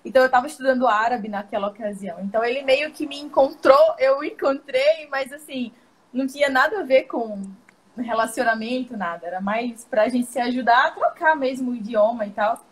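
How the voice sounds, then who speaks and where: A woman's voice: sits high, fairly quick, poor audio. A woman tells her story casually to an interviewer, often laughing. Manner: chatty, laughs while telling stories. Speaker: interview guest. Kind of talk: casual storytelling on a video call.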